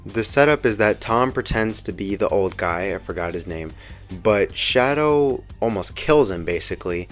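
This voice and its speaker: A man's voice: fairly low pitch, expressive, normal speed. A young man giving casual, slangy movie commentary with the earnest enthusiasm of a fan. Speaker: video essay host reviewing a film. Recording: music behind the voice. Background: background music.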